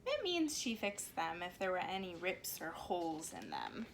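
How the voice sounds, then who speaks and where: A woman's voice: on the low side, huge pitch swings, moderate pace, gravelly. A woman reading a storybook aloud to young children, expressively and with simple explanations, in a home recording. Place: cozy corner at home. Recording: faint background noise, home recording.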